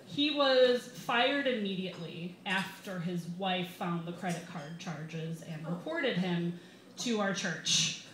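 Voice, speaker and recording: A woman in her twenties with an American accent, reading a comedic personal essay live with wry, deliberate timing at a bar microphone. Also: lowish voice, expressive, fairly bright tone. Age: 20s. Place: small bar reading series, indoors. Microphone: microphone on a stand, held close. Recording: roomy live bar, some background noise.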